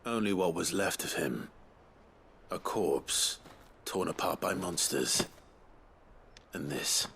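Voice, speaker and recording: An actor playing a stoic, guarded hero in a video game, speaking tersely and steadily with a British accent. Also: male voice, sits low, expressive, gravelly, fairly bright tone, slight background noise, full character voice. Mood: cool, guarded. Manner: terse, measured. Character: stoic, guarded swordsman hero, quietly principled.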